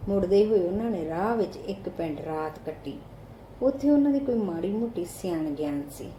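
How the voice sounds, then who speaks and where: A woman's voice: fairly low pitch, animated, normal speed, warm tone. A woman with an Indian Punjabi accent narrating a short story from a script, as in an audiobook. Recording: roomy echo, faint background noise.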